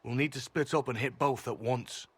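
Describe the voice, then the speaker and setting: Man playing composed, measured fantasy agent. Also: mid-range voice, fairly even intonation, husky, no echo, lightly acted. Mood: businesslike. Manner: measured, matter-of-fact. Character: composed, earnest elven secret agent, former slave. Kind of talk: fantasy video game acting.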